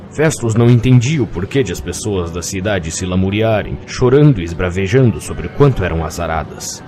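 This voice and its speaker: A man's voice: fairly low pitch, mellow, natural voice. A man playing a kindly, lonely old innkeeper, telling tales with theatrical phrasing in an audio drama. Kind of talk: dramatic fairy-tale narration in an audio drama.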